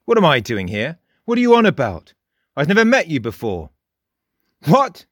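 A man's voice: fairly high pitch, wildly swooping pitch, a bit bright, dry recording, clean studio sound, voice pushed up, heavily acted. A male narrator voicing a mischievous, fox-like teenage boy who taunts and lectures in a lofty, mocking way. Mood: taunting. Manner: mocking, lofty, rapid-fire barrage. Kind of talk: audiobook character voice.

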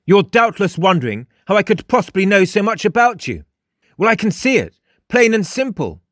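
A man's voice: high voice, very animated, normal speed, a bit bright, no room sound, clean studio sound, pitched above the performer's own voice. A man voicing a smug, theatrical young male mystic in a storybook, declaiming with pompous emphasis. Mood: knowing, urgent. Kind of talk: audiobook character dialogue.

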